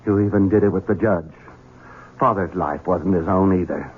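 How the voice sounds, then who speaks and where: A man's voice: low-pitched, normal speed, husky. An actor playing a resentful, menacing man in his thirties ruled by his mother, in a theatrical, sardonic vintage radio mystery style. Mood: resentful, agitated. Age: 30s. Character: bitter, resentful grown son, 38, menacing and mother-dominated. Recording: dull lo-fi vintage radio, music behind.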